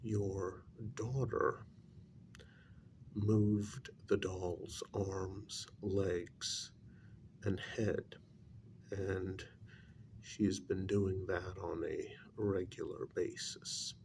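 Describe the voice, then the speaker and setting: Guy doing soft asmr spiritualist, deep creaky male voice, grave n reluctant, long pauses. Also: flat delivery, a little hiss or hum, heavily acted. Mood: calm, patient. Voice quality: soft, low, creaky voice, breathy in places. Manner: very slow, deliberate, hesitant, with long pauses. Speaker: ASMR role-play performer. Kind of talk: soft-spoken ASMR role-play.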